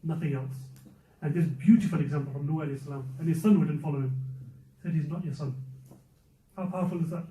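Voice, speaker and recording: A man gives a conversational religious lesson, pressing his points by repeating them. His voice is dark and mellow, sitting a little high for a man. He sounds distant in a roomy, echoing space, and the recording is dull and muffled. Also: fairly even intonation, quick pace, pauses often. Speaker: youth circle teacher. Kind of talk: religious lesson to a youth and parents circle. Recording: muffled, echoey room, speaker away from mic.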